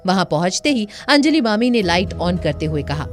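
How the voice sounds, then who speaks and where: A woman's voice: lowish voice, sing-song, moderate pace, music in the background. A woman narrator giving a dramatic scripted narration of a serial story.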